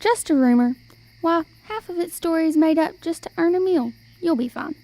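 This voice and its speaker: A high, soft-edged voice for a sly old wandering storyteller woman, acted by a young woman. She speaks in folksy, old-fashioned turns of phrase for an amateur radio play. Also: normal speed, no room sound, low-quality recording. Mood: coaxing.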